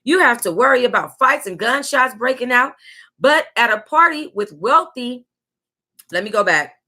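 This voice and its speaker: An American woman reading a memoir aloud as a book club host, with sassy, exclamatory asides and drawn-out emphasis. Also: a little high, lively intonation, bright, forceful tone.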